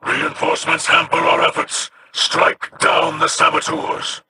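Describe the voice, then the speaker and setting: A man's voice: fairly high pitch, completely flat delivery, a little slow, very noisy, close to an everyday voice. A man voicing a grave, proud alien warrior captain in a video game, giving formal, clipped commands. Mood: stern.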